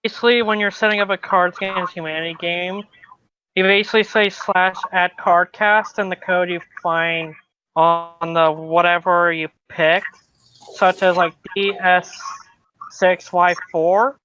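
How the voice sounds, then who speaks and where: Bright crisp voice over dull voice-call audio. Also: mid-range voice, steady tone, a little slow, dry recording. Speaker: voice call participant. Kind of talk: casual chat.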